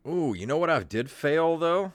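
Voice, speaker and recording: An American man in his fifties hosting a podcast in casual, joking chat, amused, self-deprecating and quick to laugh. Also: fairly high pitch, expressive, no room sound. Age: 50s. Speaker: podcast host. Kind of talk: casual joking chat, reading aloud from old papers.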